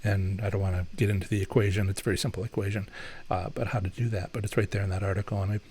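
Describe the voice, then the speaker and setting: A man's voice: lowish voice, steady tone, rapid-fire, warm tone, breathy edge. A man hosting a live question-and-answer stream, talking loosely with many fillers and restarts as he explains and gives advice. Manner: explanatory, advising. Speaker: host answering viewer questions. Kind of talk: live Q&A chat.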